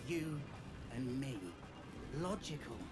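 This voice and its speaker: A woman's voice: a bass voice, slow pace. An actress playing a scrappy, defiant and loyal young street girl in a video game, talking in punchy, emotive slang. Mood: earnest, hurt. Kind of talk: video game character acting. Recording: music under the voice.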